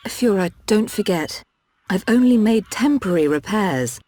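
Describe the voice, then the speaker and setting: An actress playing a caring, serious healer in a video game, with measured, gentle delivery and a dark, husky voice. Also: female voice, medium pitch, expressive, natural voice. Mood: concerned. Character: caring, grave female healer and mechanic, candid adviser. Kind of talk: dramatic video game voice acting.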